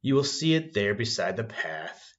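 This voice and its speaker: A man's voice: on the low side, very animated, normal speed. A man reading the part of a keen, dryly ironic male detective in a storybook, with formal, precise phrasing, on a dull lo-fi recording. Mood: wry. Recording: dull, slightly muffled lo-fi recording.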